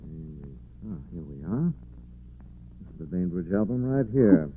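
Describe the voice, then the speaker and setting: An actor in a 1940s radio drama playing a cool, wry gentleman sleuth who is assured and commanding. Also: rumbling low, big pitch swings, normal speed, slight background noise, exaggerated voice. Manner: suave, dry wit, assured. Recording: tinny, narrow 1940s radio recording.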